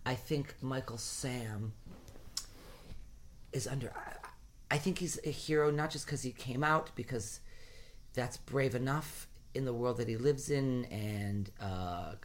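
A man's voice: medium pitch, slow pace. A man from Rhode Island in casual conversation, making his points emphatically and often repeating phrases as he builds an argument.

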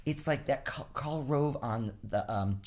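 Very deep-voiced blunt New woman. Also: a little slow. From Rhode Island, US. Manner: blunt, animated, swears freely. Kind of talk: casual political chat.

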